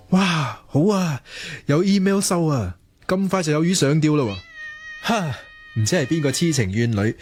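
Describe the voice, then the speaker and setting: A man's voice: fairly high pitch, darkish tone, a little hiss or hum, natural voice. A man acting a lonely, self-mocking young research student who mutters theatrically to himself in a radio drama. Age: young adult. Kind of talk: Cantonese radio drama monologue.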